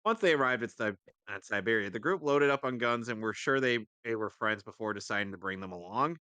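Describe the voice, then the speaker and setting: Bright, forceful lower-voiced man. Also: expressive, fairly quick, clear, resonant tone, dry recording. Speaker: livestream co-reader. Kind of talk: casual narrated read-aloud with asides.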